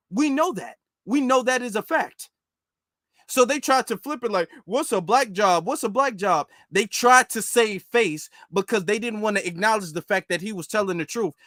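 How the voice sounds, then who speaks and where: A man's voice: sits high, a bit bright, no room sound, clean studio sound. A man from the United States giving informal, emphatic political commentary on a live stream, repeating phrases for stress.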